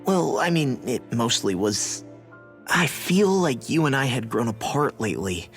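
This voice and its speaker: A sincere young male fighter from a fantasy game, with a mid-range voice. He sounds loyal and slightly bashful. Music is clearly audible behind his speech. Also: mid-range voice, expressive, a little slow, fairly bright tone. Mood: awkward, flustered. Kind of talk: video game cutscene voice acting.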